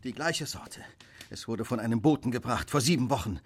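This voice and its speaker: A man acting in a radio drama as a brilliant, brooding detective, husky, intense and commanding, with clipped deductions. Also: mid-range voice, fairly quick, close to an everyday voice. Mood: sharp, resolute. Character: brilliant brooding detective, driven by guilt and fierce resolve.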